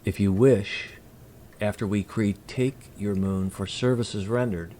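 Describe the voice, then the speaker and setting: A man playing a courteous alien envoy in an audio drama, speaking formally and deliberately with precise wording. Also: lowish voice, fairly even intonation, mellow, slight background noise, lightly acted. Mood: calmly persuasive. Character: courteous, measured male alien envoy from Kree. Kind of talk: scripted audio drama acting.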